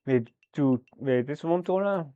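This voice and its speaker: A man live-streaming a game, thinking aloud through his moves in a halting, fragmented way. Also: fairly high pitch, expressive, clear, resonant tone, dry recording, phone-quality sound. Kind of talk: live mahjong gameplay commentary, thinking aloud.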